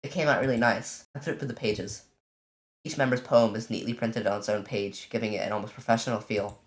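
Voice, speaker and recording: A let's player casually commenting on a visual novel and reading its text aloud, in an informal, halting way. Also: mid-range voice, steady tone. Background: game music.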